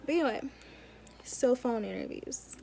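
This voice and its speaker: A woman's voice: fairly high pitch, expressive, moderate pace, vocal fry, dark tone, slight background noise. A young adult woman, a law student, chatting informally and giving advice in a casual vlog with emphatic repetition.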